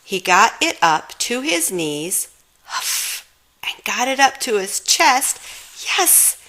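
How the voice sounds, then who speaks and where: A woman's voice: a little high, sing-song, slow, sharp tone. A woman reading a children's storybook aloud expressively, giving dramatic emphasis to the sound words. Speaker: storybook reader.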